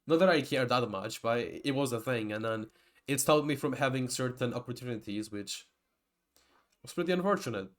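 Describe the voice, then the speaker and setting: A man's voice: mid-range voice, lively intonation, moderate pace. A young man, a native Georgian speaker, giving a rambling, casual lecture with many restarts and digressions. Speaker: typology lecturer.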